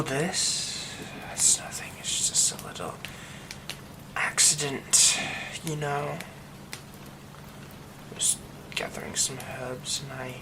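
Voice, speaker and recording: A man voicing a secretive young man who hides a werewolf curse, in an ASMR roleplay drama. He speaks in a hushed voice that often drops to a whisper. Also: medium pitch, natural voice. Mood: soft, eerie. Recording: steady rain noise behind the voice.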